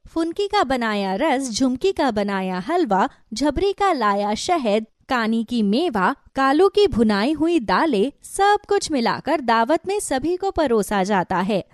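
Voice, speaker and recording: Animated woman narrator, fairly high voice. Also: unhurried, hardly any pauses, dry recording, clean studio sound. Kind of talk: scripted children's story narration.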